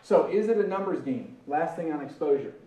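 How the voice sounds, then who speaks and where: A man's voice: fairly high pitch, animated, a little fast, warm tone. A man giving a recruiting training talk to a live audience, repeating his points and checking in with "okay?" and "right?". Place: meeting room with audience. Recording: echoey room from a distance, faint background noise.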